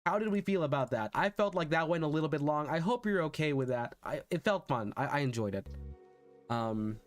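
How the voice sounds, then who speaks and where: A man chatting casually on a livestream, halting and self-conscious, often repeating his words. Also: fairly high pitch. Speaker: VTuber streamer practicing voice acting. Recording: background music under the voice.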